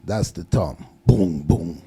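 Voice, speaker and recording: An older man, a guest on a radio show, telling unhurried stories about how he started out. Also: deep voice, slightly rough, soft background music. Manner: relaxed, unhurried storytelling. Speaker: guest producer. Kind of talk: casual radio interview. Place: radio studio.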